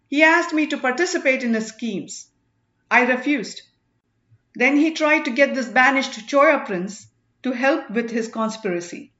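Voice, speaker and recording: A woman voicing a treacherous male warrior in an audiobook, speaking in a loud, insistent, declamatory way. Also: high voice, reverberant, muffled recording, voice pushed up. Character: treacherous armed man loudly accusing a rival before crowd.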